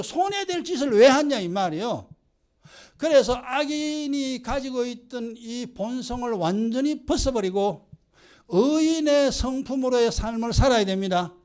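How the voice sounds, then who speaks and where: An older man with a Gyeongsang Korean accent preaches, scolding his listeners with pointed rhetorical questions. His voice sits high and leaps across a very wide range, rising sharply when he presses a point. He talks straight to the congregation. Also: a little mellow. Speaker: pastor. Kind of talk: sermon.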